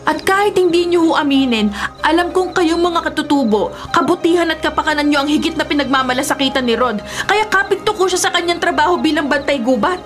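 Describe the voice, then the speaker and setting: A woman plays an anguished Filipino wife in a radio drama. Her very high voice is plaintive and emphatic as she insists on protecting her family. Music plays clearly behind her. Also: a little fast, natural voice. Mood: upset, accusing.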